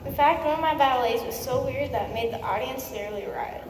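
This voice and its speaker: A woman's voice: sits high, fast talker, natural voice. A young girl of about eleven playing a grouchy, self-important composer in a scripted comic school play. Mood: defiant, proud. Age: child, about 11 or 12. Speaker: student performer in readers theater. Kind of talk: scripted comic stage recitation. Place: school performance in a large echoey room. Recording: echoey hall, distant mic, faint noise.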